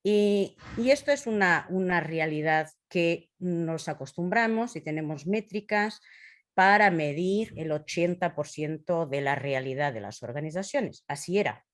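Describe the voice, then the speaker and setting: Female presenter, 50s, native Portuguese speaker with a Portuguese accent. Slightly low register, very slow delivery, halting and searching for words, informal slide-talk style. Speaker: presenter leading a talk on impact measurement.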